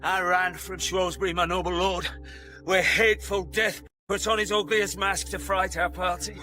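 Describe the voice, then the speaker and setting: An actor playing a loyal, shaken battlefield messenger in a Shakespearean radio drama, speaking formal verse earnestly. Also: male voice, high voice, little pitch movement, moderate pace, bright, forceful tone, faint music behind. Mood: fearful, reluctant. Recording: noisy ambience behind the voice.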